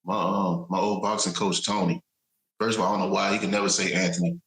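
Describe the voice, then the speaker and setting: Chicago guy hosting a cigar podcast, lowish voice, roomy echo, easygoing and laughs a lot. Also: level tone, fast, a bit bright. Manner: loose, laughing interviewer with restarts. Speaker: podcast host. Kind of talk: casual chat. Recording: echoey room.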